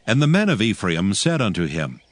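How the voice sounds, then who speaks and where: A man narrating dramatized scripture in a formal, measured storytelling manner. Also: lowish voice, big pitch swings, dry recording, studio quality.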